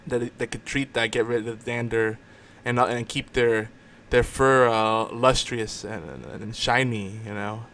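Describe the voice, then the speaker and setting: A man's voice: medium pitch, measured pace, fairly bright tone. A man hosting a casual podcast, bantering in a rambling way with repeated words and restarts. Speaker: podcast host. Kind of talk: casual banter on a live online show.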